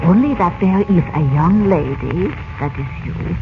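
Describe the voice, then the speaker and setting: An actress playing a timid, gentle young wife, speaking halting, formal broken English in a 1940s-style radio serial. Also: female voice, lowish voice. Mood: hesitant, secretive. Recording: muffled old-radio sound, music behind.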